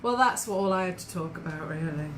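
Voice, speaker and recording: A woman's voice: on the low side, fast, roomy sound, background noise. An English woman hosting a singalong, chatting casually, laughing often and reading facts aloud. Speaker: singing teacher and host. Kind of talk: casual chat.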